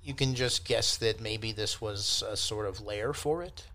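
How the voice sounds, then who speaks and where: A man's voice: fairly low pitch, measured pace, pushed and bright. A man narrating casually as a game master, explaining things in an accommodating way over background noise. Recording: constant clear background noise.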